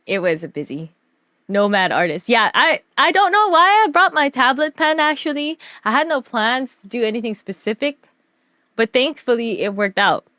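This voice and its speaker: A woman streamer telling stories casually over a slideshow, animated, giggly and slangy in her delivery. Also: on the high side, dry recording, studio quality. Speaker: streamer and artist.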